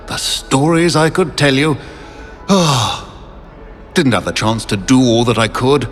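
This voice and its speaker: A man's voice: mid-range voice, a bit bright. An actor playing a pompous, courtly, nostalgic old British statesman living on as a portrait in a video game. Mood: proud, nostalgic. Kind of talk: video game character acting. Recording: long reverberant hall, music underneath.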